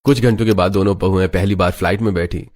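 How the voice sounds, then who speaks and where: A man's voice: low voice, steady tone, fast, a little mellow. A man narrating a scripted dramatic family story as a storyteller. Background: background music.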